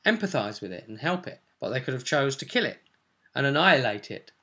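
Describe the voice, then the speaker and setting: A man hosting as game master, casually recounting a tabletop roleplay session in an animated storytelling way, often ending on tag questions. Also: medium pitch, brisk. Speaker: game master and show host.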